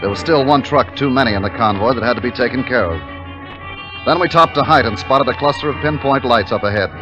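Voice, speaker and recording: Old radio show w/ music under it, guy acting brisk army captain, authoritative, medium pitch. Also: natural voice. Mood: tense narration. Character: brisk, commanding army captain narrating a wartime mission. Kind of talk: 1950s radio drama acting and narration. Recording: muffled old radio recording with background music.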